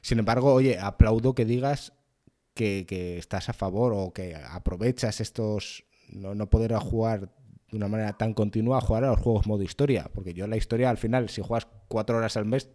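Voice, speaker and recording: A man's voice: lowish voice, fairly quick, warm tone. A man with a European Spanish accent, speaking casually as the host of a podcast interview.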